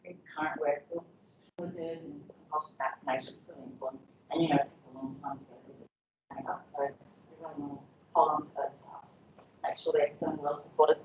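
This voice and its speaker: Woman, low voice, chatty presenter with lots of fillers, echoey room, recorded from far off. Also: fairly even intonation, fast, a little hiss or hum. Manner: conversational, lots of ums and you-knows. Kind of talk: educational talk to health staff. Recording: dull, echoey room audio from afar.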